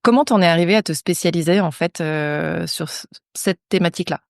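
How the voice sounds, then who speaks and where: Chatty woman podcast host, low voice. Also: brisk, gravelly, a little mellow, no echo, clean studio sound. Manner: friendly, chatty. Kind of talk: casual interview chat.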